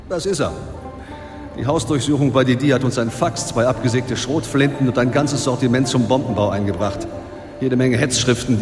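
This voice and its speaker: An actor playing a businesslike police detective in a radio drama, reporting facts in a matter-of-fact manner. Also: male voice, mid-range voice, close to an everyday voice. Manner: factual, businesslike.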